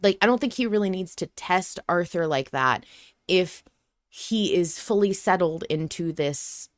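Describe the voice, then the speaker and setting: Woman podcast host, smooth lowish voice, conversational, rephrases as she reasons. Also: expressive, a little slow, no room sound, clean studio sound. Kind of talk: casual discussion of an audio drama.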